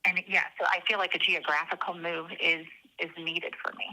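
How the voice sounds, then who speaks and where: A woman's voice: lowish voice, flat delivery, brisk, sharp tone, poor audio. A woman calling in to an advice show, hesitant and searching for words as she talks emotionally. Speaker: caller. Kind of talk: phone-in conversation.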